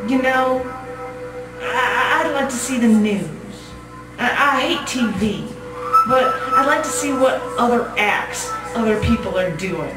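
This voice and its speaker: A woman playing a paranoid, defensive inmate acting crazy, with a Southern US accent and a rambling, halting, repetitive way of talking in a live monologue. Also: a little high. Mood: wistful, rambling. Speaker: performer reading a monologue. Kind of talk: live dramatic monologue reading. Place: small gallery cabaret stage. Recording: roomy distant live recording with music. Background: music.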